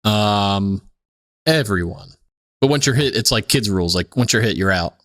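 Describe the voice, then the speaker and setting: Low-voiced man chatting casually. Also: lively intonation, moderate pace, a bit bright, no echo, clean studio sound. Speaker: podcast co-host. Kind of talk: casual comedic chat.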